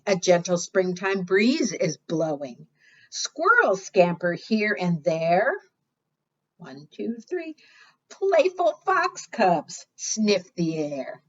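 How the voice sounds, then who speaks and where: A woman leading a preschool storytime, reading aloud expressively and putting questions to her young listeners. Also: lowish voice. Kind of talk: read-aloud for young children. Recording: dull lo-fi sound.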